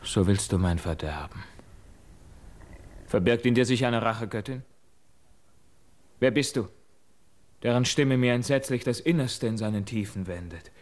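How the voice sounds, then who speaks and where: A man's voice: mid-range voice, lively intonation, a little slow, pauses often, a little mellow, close to an everyday voice. An actor playing a guilt-tormented, haunted man in a classical verse radio drama, with brooding, anguished theatrical declamation. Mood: distrustful, agitated.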